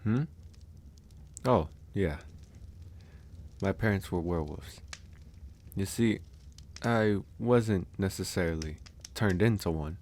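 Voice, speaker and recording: Some noise sits behind a deep-voiced male werewolf, acted by a man in an ASMR audio roleplay. He speaks very slowly and low, in a calm, easygoing way. His delivery is candid and musing, with sighs and unhurried pauses, as in a close one-to-one talk. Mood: musing, storytelling.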